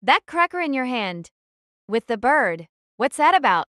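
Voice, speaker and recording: A woman's voice: high voice, big pitch swings, a little slow, sharp tone, dry recording, clean studio sound, full character voice. A woman playing a guarded, wary teenage girl archer who narrates her own story in an audio drama. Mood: suspicious, guarded.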